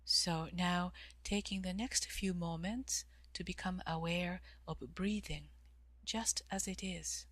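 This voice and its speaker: A woman leading a guided meditation in a calm, measured way, with long pauses and soft, breathy phrase endings. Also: lowish voice, no echo. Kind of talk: guided meditation, slow instruction.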